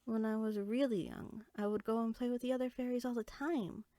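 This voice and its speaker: A woman playing an inquisitive, friendly young fairy sorcerer in a conversational roleplay audio drama, chatty and eager with soft asides. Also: on the high side, fairly even intonation, a little fast, no echo, studio quality, lightly acted. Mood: chatty, curious.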